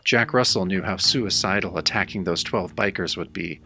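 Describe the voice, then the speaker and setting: A man's voice: low-pitched, moderate pace, bright, forceful tone, heavily acted. An actor playing a battered, wry tough-guy hero, narrating his own pulp horror tale in a radio-style audio drama. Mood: wry, weary. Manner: wry, hard-boiled first-person storytelling.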